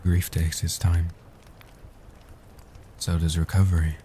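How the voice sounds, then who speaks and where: A man playing a gentle, protective ancient vampire guardian in a soft-spoken comfort audio roleplay, talking slowly and soothingly with formal, solemn phrasing. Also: level tone, slight background noise. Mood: tender, reassuring. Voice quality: soft, hushed, dark low voice.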